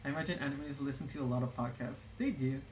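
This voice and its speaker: A young adult streamer talks loosely while drawing, wandering through thoughts with constant "like"s and restarts. The voice sits at an ordinary middle pitch. The room echoes noticeably, with a faint hum of ambience underneath. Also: rapid-fire, darkish tone. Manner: rambling, full of "like" and restarts. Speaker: streamer reading chat. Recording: roomy room echo.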